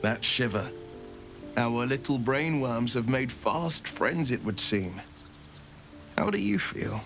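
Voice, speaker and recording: A wry, seasoned adventurer. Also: male voice, medium pitch, lively intonation, gravelly, a bit bright, faint music behind, exaggerated voice. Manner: wry, measured, reassuring. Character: confident, wry male fighter and companion. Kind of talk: video game cutscene dialogue.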